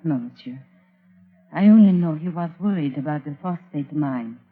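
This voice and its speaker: Mysterious French café woman, earnest, measured, vintage radio play, narrow tinny sound. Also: low-pitched, animated. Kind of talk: 1940s radio acting. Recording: tinny old radio recording, faint music.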